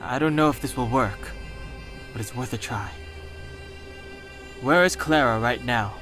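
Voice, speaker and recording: A reserved, practical young man, played by an actor speaks slowly at a middle pitch. His delivery is calm and understated, in game voice-acting style over faint music. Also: close to an everyday voice. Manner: understated, measured.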